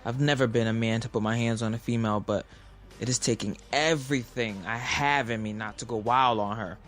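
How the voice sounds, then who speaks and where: A man's voice: medium pitch, fairly even intonation, brisk, fairly bright tone, soft background music, lightly acted. An actor playing a hot-tempered young man in an audio drama, with casual, slangy American speech, in dialogue and first-person narration. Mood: tense narration.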